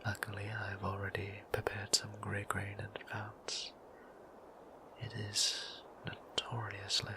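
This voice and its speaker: Casting: male actor as courteous, bashful vampire priest for audio roleplay. Slow, even whisper, formal and tender, breathy. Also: rough recording. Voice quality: breathy whisper with creaky low tone. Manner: formal, old-fashioned, polite, measured phrasing.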